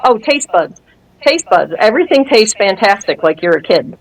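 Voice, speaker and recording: A woman's voice: medium pitch, normal speed, low-quality recording. A woman in her fifties telling her story in a casual interview, acting out what people said and laughing often. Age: 50s. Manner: animated storyteller who acts out quoted dialogue and laughs often. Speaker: guest sharing a testimony.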